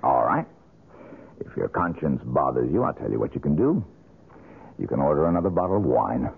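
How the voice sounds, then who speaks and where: A muffled, narrow-band radio drama recording of a world-weary, cynical painter. His voice is very low and husky, and he talks curtly, with guarded, sardonic bitterness. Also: big pitch swings, moderate pace, full character voice. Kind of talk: 1970s radio drama acting.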